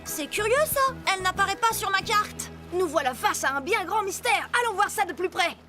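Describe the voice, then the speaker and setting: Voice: very high-pitched, lively intonation, a little fast. A performer voicing a plucky, friendly young boy pirate leader in a children's cartoon, eager and adventurous. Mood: curious, adventurous. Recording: music bed behind.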